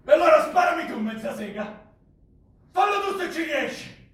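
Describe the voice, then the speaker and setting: A man's voice: very high-pitched, big pitch swings, moderate pace, a bit bright, exaggerated voice. A male stage actor playing a frightened but defiant young rugby player under interrogation, answering tersely and defensively. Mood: desperate, shouting. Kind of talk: live stage drama acting. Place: theatre stage, indoors. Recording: very echoey theatre recording from far off.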